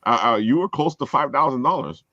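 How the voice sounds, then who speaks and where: A man chatting casually as a podcast host, earnest and halting, often repeating his phrases. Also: mid-range voice, animated, sharp tone, dry recording, lo-fi sound. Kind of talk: casual group chat.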